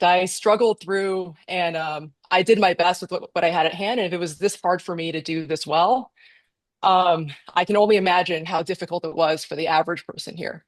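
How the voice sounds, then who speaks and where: American woman candidate, low-pitched voice. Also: dry recording. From United States. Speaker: congressional candidate. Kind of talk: campaign speech at a candidate forum.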